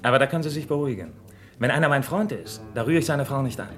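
A man's voice: mid-range voice, expressive, fast, soft background music, close to an everyday voice. An actor playing a matey but evasive club barman with a shady past in a radio crime drama, talking colloquially. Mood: cagey. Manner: colloquial, cagey. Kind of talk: German radio crime play acting, colloquial.

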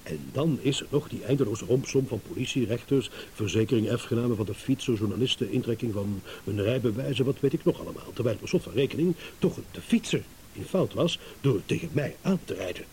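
An actor playing a shrewd, self-satisfied motorist delivering a deliberate, ironic, accusing monologue in a radio play. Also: male voice, medium pitch, expressive, dark tone, natural voice. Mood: measured, rueful. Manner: theatrical, deliberate, ironic, with dramatic pauses. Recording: hissy old radio play recording.

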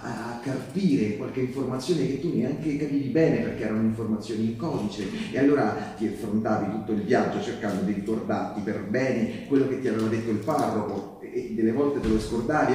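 Guy on stage doing a mellow wartime partisan remembering his youth, intimate, lots of pauses, mic far off. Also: lowish voice, a little hiss or hum, close to an everyday voice. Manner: intimate, halting, with dramatic pauses. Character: male wartime partisan tenderly recalling youth in the mountains. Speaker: stage actor performing a monologue. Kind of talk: theatrical monologue, spoken letter to a loved one. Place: live stage performance, recorded from a distance. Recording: distant microphone in a very echoey room.

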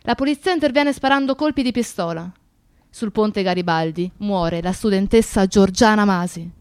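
A woman's voice: medium pitch, normal speed, darkish tone, smooth, dry recording, studio quality. A woman reading scripted historical narration as a radio narrator.

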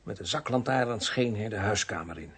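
A shaken male vicar with a husky, unclear voice. He speaks haltingly and politely, groggy and apologetic, in radio crime drama style. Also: lowish voice, a bit bright. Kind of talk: radio play acting.

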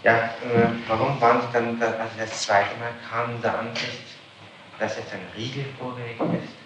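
A man's voice: medium pitch, level tone, normal speed. Probing male interrogator, distant and very echoey. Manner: probing, insistent, challenging. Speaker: police interrogator. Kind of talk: formal interrogation questioning. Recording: very echoey, distant, noisy archival tape.

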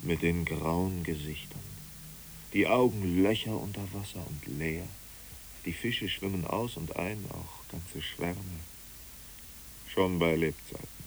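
Deep, gravelly, brooding killer. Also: male voice, exaggerated voice, low-quality recording. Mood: grim, brooding. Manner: terse, grave, deliberate. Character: brooding, blunt male killer who slew his comrade. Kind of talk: literary radio play acting. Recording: hissy old radio recording.

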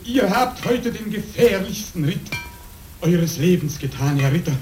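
An actor playing a wry, sardonic village headman in a radio drama, with theatrical, suspenseful pauses. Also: sits high, lively intonation. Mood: proclaiming. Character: wry village headman watching and greeting a rider. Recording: roomy sound with loud music behind.

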